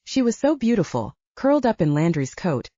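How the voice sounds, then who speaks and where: A woman's voice: lowish voice, sing-song, a little fast, mellow, no room sound, highs cut off. A woman reading scripted first-person fiction as an audiobook narrator.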